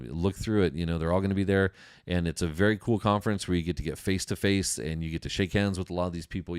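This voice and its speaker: Male podcast host, low-pitched, dry close sound, conversational and enthusiastic delivery with repetition for emphasis. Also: dry recording. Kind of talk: casual industry news talk to an audience.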